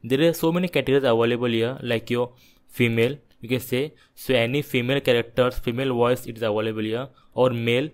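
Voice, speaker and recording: A man narrating a software tutorial, explaining things step by step in an instructive, repetitive way. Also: medium pitch, steady tone, fast. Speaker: tech tutorial presenter.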